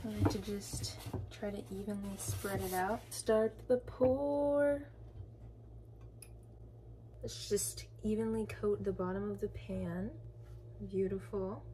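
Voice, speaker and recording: A woman's voice: mid-range voice, slow, long pauses, dark tone, slight background noise, rough recording. A young woman chatting casually through a bake-with-me vlog in her home kitchen, narrating each step as she goes. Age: young adult. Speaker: vlogger.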